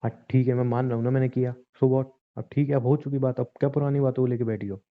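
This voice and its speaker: A man's voice: mid-range voice, steady tone, quick pace, close to an everyday voice. Casting: man as cold, unapologetic husband for a home-made audio drama, dismissive and testy, thin telephone-quality audio. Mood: irritated, dismissive. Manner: dismissive, brushing off accusations. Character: callous, shameless husband, dismissive and irritated. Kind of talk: hobby audio drama, staged husband-wife quarrel. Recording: thin tinny phone line.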